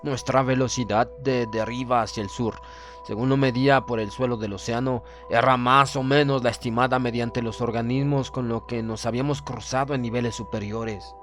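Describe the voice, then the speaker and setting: A man narrating a horror audiobook in the first person, reading solemnly and deliberately with theatrical emphasis. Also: medium pitch, steady tone, fairly bright tone. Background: music.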